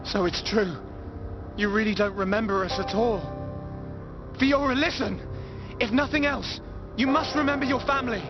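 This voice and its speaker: A man's voice: very high-pitched, moderate pace. A British actor voicing an earnest young hero in a video game, intense and emotional in his delivery. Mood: earnest, pleading. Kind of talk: video game cutscene acting. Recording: muffled game audio, loud music.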